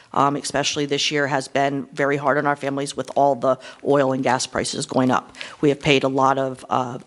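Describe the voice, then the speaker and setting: A man's voice: mid-range voice, fairly bright tone. A man, a county children's services administrator, gives an earnest and hesitant report at a public meeting, with many ums.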